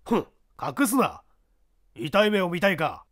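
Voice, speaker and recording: A jealous, brutish young man played by a male voice actor makes threats and demands. His voice is high for a man and very animated, in audiobook drama style. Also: unhurried, heavily acted. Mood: angry, demanding. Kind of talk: audiobook character acting.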